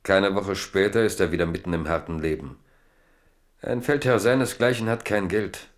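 Low-pitched male radio play narrator. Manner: deliberate, rhetorical, literary.

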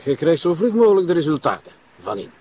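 A man's voice: fairly high pitch, very animated, quick pace, darkish tone. An actor playing a curt, dry male forensic investigator with a Flemish accent, terse and factual, in a TV crime drama. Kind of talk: naturalistic television crime drama acting. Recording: dull soundtrack with faint outdoor noise.

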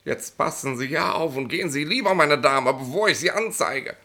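Gravelly, officious police inspector. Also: male voice, fairly high pitch, expressive, moderate pace, bright, forceful tone, heavily acted. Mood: officious, indignant. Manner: colloquial, rambling, officious, tag questions. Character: bossy, nosy, smug petty police inspector, middle-aged man. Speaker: actor in a radio play. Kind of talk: 1960s German radio play acting.

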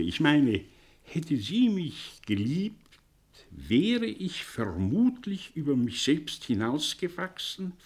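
An actor playing a learned, broken professor in a German radio play, halting and emphatic, with literary phrasing and bitter fatalism. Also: male voice, mid-range voice, husky, heavily acted.

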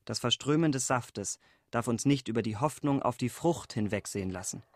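An actor playing an earnest, idealistic older brother, speaking solemnly and in measured phrases in a radio play. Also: male voice, medium pitch, animated, darkish tone, lightly acted. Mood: solemn conviction. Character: earnest idealistic older brother, young village resistance man.

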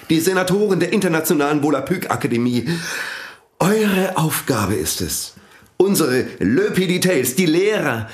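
Pompous preachy priest inventor, radio drama, really animated, echoey room. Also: male voice, a little high. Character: pompous, fanatical priest who invented a world language. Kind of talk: radio play acting.

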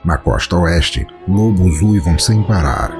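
A man with a Brazilian Portuguese accent narrates a scripted horror story in a measured, solemn, dramatic way. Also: low-pitched, expressive, music in the background. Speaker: podcast narrator.

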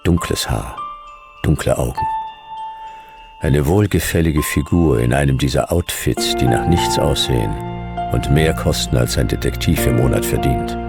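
A man playing a world-weary, sardonic fifty-year-old private detective, narrating a noir radio drama in a gravelly, laconic voice. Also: rumbling low, exaggerated voice. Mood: wry, brooding. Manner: laconic, dry, clipped.

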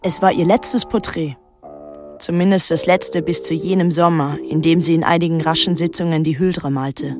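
A woman's voice: low voice, steady tone, moderate pace, natural voice. Pensive young woman, played by an actress, music underneath. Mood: reflective. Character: pensive young woman recalling her island summer, detached, introspective. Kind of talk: literary radio drama narration and dialogue.

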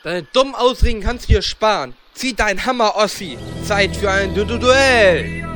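A man's voice: high-pitched, moderate pace, music in the background, lightly acted. A man playing a cocky, irritable and cowardly male gnome warlock in a comedic fantasy audio drama, sarcastic and taunting. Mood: combative.

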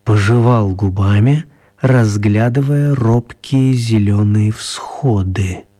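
Mellow male storyteller. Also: on the low side, slow. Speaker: narrator. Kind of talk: scripted storytelling for a radio play.

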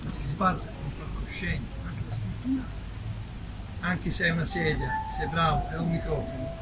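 A man's voice: on the high side, a little fast. A man in his sixties making an impromptu, insistent complaint from the audience at a live event. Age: 60s. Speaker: audience member, publicist. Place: outdoor festival. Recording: noisy live outdoor recording.

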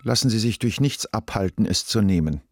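A man plays a wealthy businessman in a radio drama, composed and ironic. The voice is low, with supple, varied intonation and a deliberate, calm manner. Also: no echo. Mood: wry, composed.

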